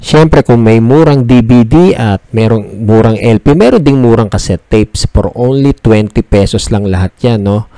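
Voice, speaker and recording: A Filipino man narrating a casual shop tour as a vlogger, talking conversationally and pitching items like a salesman. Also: normal speed, dark tone, no echo.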